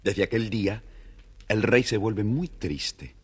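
A man narrating a scripted children's fairy tale as an expressive, dramatic storyteller. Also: on the low side, slow, slightly rough.

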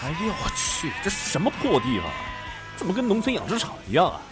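An actor playing an excitable, distrustful dog owner in a modern audio drama, blustering and argumentative. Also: male voice, on the high side, quick pace. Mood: exasperated. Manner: blustering, foul-mouthed, argumentative. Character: excitable, distrustful male dog owner, devoted to his pet. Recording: studio drama with music behind.

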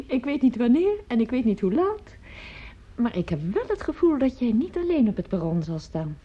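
An actress playing a calm, sensible, mildly teasing mother, matter-of-fact and soothing in a radio play. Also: a little high, moderate pace. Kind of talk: naturalistic radio play acting. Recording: dull lo-fi radio play recording.

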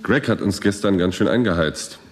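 An earnest male crew leader played by an actor in a space radio play. His voice is deep, and he reasons things out deliberately. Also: music in the background, lightly acted. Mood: concerned, businesslike. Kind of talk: German radio drama acting.

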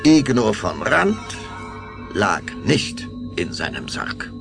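A man's voice: fairly low pitch, wildly swooping pitch, slow pace, gravelly. A man narrating a children's audio drama in a scripted storytelling style. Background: music.

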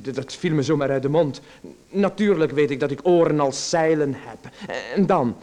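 A man's voice: fairly high pitch, normal speed, darkish tone, lightly acted. A man playing a nervous writer in a radio drama, hesitant and stammering. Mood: uneasy. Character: nervous male writer, timid and uneasy.

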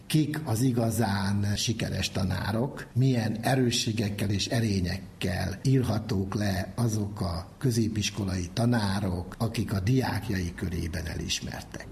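A man with a fairly low voice explains research as an interviewed academic. There is faint ambient noise behind him. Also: darkish tone.

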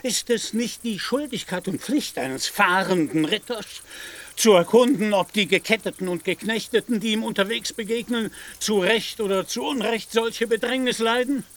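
An actor playing a proud, deluded old knight-errant in a radio drama, speaking in lofty, courtly phrases. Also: male voice, high-pitched, moderate pace, a bit bright. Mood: indignant. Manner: lofty, archaic courtly speech.

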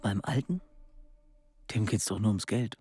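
Actor as a cynical, lowish-voiced son. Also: male voice, measured pace, very noisy, natural voice. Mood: scornful. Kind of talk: radio play acting.

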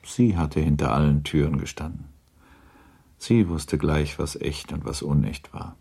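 A man narrating a classic novel for a radio drama as a sober, measured and matter-of-fact storyteller. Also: a bass voice, vocal fry, warm tone, husky, heavily acted.

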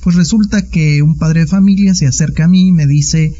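Man, Mexican accent, formal and polite, somewhat high voice, dull lo-fi recording. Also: moderate pace, dark tone. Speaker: listener leaving a voice message.

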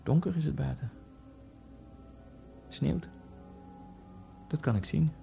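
A man narrating a radio drama in the first person, in a halting, fragmented inner monologue with a gravelly voice. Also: on the high side, warm tone. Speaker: narrator and main character. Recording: radio drama with faint music.

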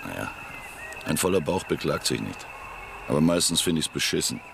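Dry subordinate cop, rumbling bass, noisy background, laconic grumbling, radio drama. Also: male voice, big pitch swings, moderate pace, creaky, full character voice. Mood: weary, cynical. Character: world-weary, dry male policeman, a loyal subordinate. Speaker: actor in a radio play. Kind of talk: naturalistic radio drama acting.

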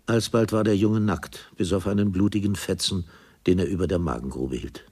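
An actor serving as the grave narrator of a radio play, reading literary prose in a measured manner. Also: male voice, deep voice, a little mellow, breathy edge. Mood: grave, tense.

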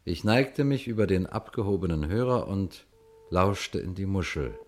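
A low male voice plays a shrewd police detective in a radio crime drama. He speaks deliberately and pointedly, unhurried as he lays out his case and turns directly to his listeners. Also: expressive, darkish tone, a little hiss or hum. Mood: calm, explanatory. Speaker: detective and narrator. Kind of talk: German radio crime drama acting and narration.

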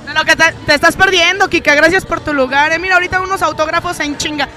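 A woman's voice: very high-pitched, steady tone, quick pace. A young woman with a Mexican Spanish accent co-hosting a podcast, teasing the others and egging them on. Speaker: podcast co-host. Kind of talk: casual chat. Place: outdoor pool party. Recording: outdoor party with loud music and crowd. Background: music and crowd.

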